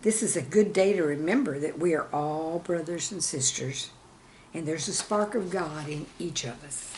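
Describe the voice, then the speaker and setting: A woman reading scripted stories and verse as a channel host, with a measured, deliberate delivery. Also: low-pitched, darkish tone, slight background noise, poor audio. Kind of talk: scripted storytelling and poem reading.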